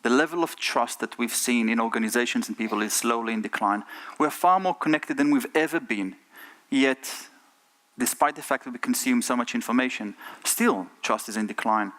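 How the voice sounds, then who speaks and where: An Israeli-accented man of middle age speaks from a conference stage at an ordinary pitch. He talks earnestly and keeps putting rhetorical questions to the audience. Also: moderate pace, fairly bright tone. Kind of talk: keynote talk.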